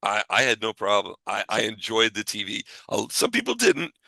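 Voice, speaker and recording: A man's voice: lowish voice, wildly swooping pitch, moderate pace, creaky, sharp tone, no echo, poor audio. A man co-hosting a casual podcast, giving his opinions emphatically and repeating phrases to make his point. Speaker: podcast co-host.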